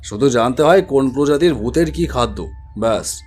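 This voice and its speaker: A man's voice: medium pitch, lively intonation, normal speed, a little mellow, slightly rough. Know-it-all older uncle in a cartoon, voiced by a man, firm and scolding. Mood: explaining. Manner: scolding, explaining. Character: older uncle, ghost keeper, bossy and knowing.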